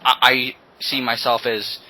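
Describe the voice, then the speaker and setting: Stammering teenage podcast host. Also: male voice, medium pitch, level tone, a crowd in the background, low-quality recording. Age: teens. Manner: halting, repeats words as he restarts. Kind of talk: casual chat.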